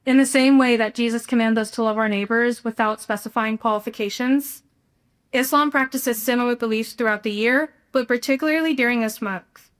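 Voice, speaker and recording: Higher-voiced young American woman giving a speech. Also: fairly quick. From Houston, Texas, United States. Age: young adult. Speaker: student speaker. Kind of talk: prepared speech.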